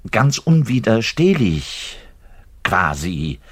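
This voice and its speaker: An actor playing a garrulous, self-important double bass player in his thirties, delivering a digressive radio play monologue straight to the listener with halting self-corrections. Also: big pitch swings, husky, heavily acted. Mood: rapturous. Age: 30s. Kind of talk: conversational theatrical monologue addressed to the listener.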